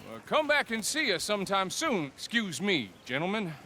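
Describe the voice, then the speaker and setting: An actor playing a folksy, pompous small-town sheriff with a drawling Southern US accent in a western game. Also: male voice, high-pitched, very animated, normal speed, sharp tone, a little hiss or hum, exaggerated voice. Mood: brisk, stern. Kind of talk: dramatic video game acting.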